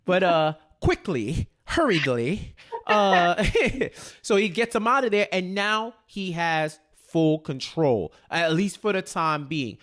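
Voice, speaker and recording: A man with a fairly high voice co-hosts a casual show recap. He is emphatic and slangy, often repeating a phrase to drive it home. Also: animated, takes it slowly, a bit bright. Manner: colloquial, slangy. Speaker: podcast co-host.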